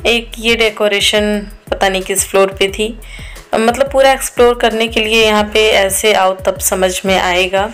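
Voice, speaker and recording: A woman's voice: medium pitch, fairly even intonation, moderate pace, a bit bright, faint music behind. A woman in her thirties from India narrating her family's day out in a casual vlog voice-over, chatty and storytelling. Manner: chatty, storytelling, filler-heavy. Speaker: vlogger.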